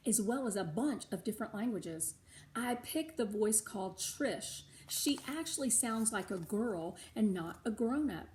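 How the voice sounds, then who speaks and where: A middle-aged or older woman reading a storybook aloud to schoolchildren, animated, with chatty asides of her own. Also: medium pitch. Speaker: read-aloud host for schoolchildren.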